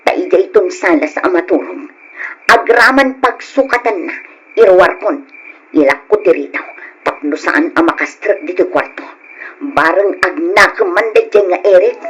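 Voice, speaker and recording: An actress with an Ilocano accent playing a neglected, nagging wife who sighs and complains in an old-time radio drama. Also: female voice, lowish voice, animated, moderate pace, a little hiss or hum, natural voice, low-quality recording. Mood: brooding, resentful. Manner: complaining, sighing. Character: exasperated neglected wife, nagging and fretting over her absent husband.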